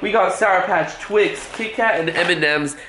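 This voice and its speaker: A man's voice: high voice, a little slow, pushed and bright, rough recording. A young adult American man chatting casually as a vlogger, with youthful, slangy talk. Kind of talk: casual vlog chat. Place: inside a family house. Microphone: handheld camera at arm's length. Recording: clear background noise, handheld vlog camera.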